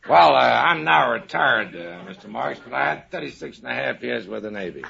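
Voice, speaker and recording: Very gravelly older American man, vintage radio. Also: medium pitch, expressive, normal speed, heavy background noise. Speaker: retired admiral, quiz show contestant. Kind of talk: formal interview answers. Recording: dull vintage 1950s radio recording.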